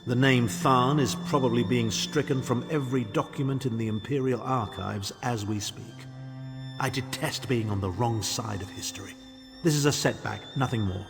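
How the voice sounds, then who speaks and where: An actor playing a haughty, scheming imperial chancellor with a pompous, disdainful manner in a fantasy game. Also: male voice, mid-range voice, lightly acted. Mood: grave, proud. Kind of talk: theatrical fantasy game dialogue. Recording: long reverb, music behind.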